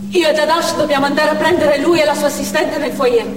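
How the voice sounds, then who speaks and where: A woman's voice: sits high, little pitch movement, fairly quick, lightly acted. An actress playing a wary, hard young woman militant in a dramatic stage monologue. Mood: wary, tense. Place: on stage in a theatre. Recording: echoey theatre hall from far off, noisy.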